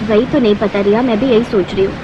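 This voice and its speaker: A woman's voice: mid-range voice, steady tone, quick pace, muffled recording, background noise, close to an everyday voice. A woman voicing a humble, hardworking housemaid and mother with an earnest manner in a Hindi cartoon story. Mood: worried.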